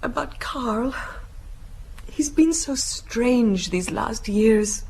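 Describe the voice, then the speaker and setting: An actress playing a frightened, melancholy young gentlewoman in a radio drama, with a hesitant, breathy, dramatic delivery. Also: fairly high pitch, animated, very slow, slight background noise, full character voice, poor audio. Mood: anguished, confiding.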